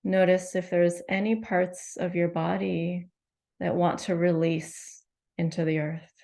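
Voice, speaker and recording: Meditation teacher, female, low, warm tone with a soft gentle delivery. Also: flat delivery, gravelly. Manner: slow, gentle, soothing instructions with long pauses. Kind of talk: guided meditation.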